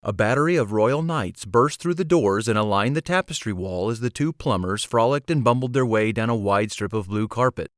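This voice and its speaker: A man's voice: fairly low pitch, expressive, normal speed, few pauses, no echo, clean studio sound. A man reading scripted fantasy storybook narration as an audiobook narrator.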